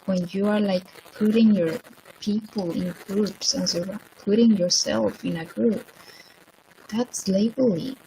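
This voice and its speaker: A middle-aged woman from Colombia, speaking, gives a slow, reflective motivational livestream talk while sick, with many ums. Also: mid-range voice, mellow, slight background noise, rough recording. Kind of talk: livestream monologue.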